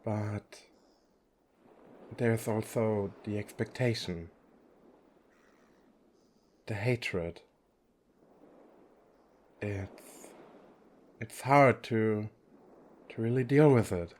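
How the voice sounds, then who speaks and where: A man acts out a gentle, weary young femboy sea god in an ASMR roleplay. He talks slowly in a low-pitched, soft voice, hesitant and kind, with a playful streak. The delivery is intimate, with many pauses. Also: slight background noise. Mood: weary, troubled. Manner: soft, hesitant, with frequent pauses and small chuckles.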